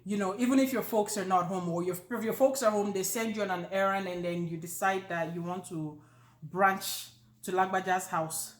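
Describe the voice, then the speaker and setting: A woman's voice: mid-range voice, normal speed. Nigerian lady chatting casually, lots of "like, you know", roomy echo. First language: not Yoruba. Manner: animated, joking, many fillers. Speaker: co-host. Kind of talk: casual chat. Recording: echoey room.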